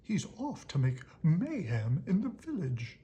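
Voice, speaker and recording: A reader doing blustering little monsters, pitched up. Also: huge pitch swings, a little slow, dark tone, slight background noise. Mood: gleeful, excited. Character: bossy, blustering little monsters egging on mayhem.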